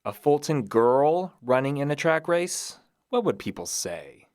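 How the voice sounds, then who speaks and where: A man's voice: a little high, very animated, a little slow, a little mellow, dry recording, studio quality. A man hosting a history podcast, reading scripted narration with dramatic, playful storytelling. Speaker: podcast host.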